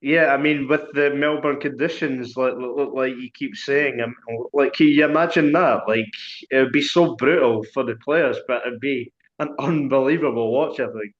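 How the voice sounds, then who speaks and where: A male podcast host asks his questions in a loose, meandering way, leaning on "like" and "um" as he goes. His voice has a middle pitch and comes through a dull, lo-fi recording. The room around him sounds noticeably echoey. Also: moderate pace. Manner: rambling questions, heavy "like" and "um" fillers. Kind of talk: casual interview questions.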